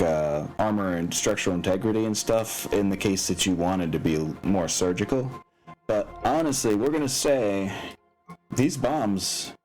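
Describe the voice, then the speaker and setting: A man with a low voice works as game master of a tabletop game. He talks casually through rules and scenes, rambling a little as he thinks out loud, with music softly audible behind him. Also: poor audio.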